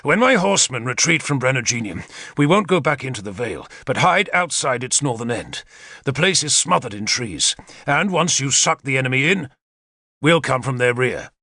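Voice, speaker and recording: A man's voice: medium pitch, very animated, normal speed, sharp tone, no room sound, studio quality, voice pushed up, heavily acted. A man voicing a confident male war leader who eagerly lays out battle plans, in a decisive, commanding manner. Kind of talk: audiobook character reading.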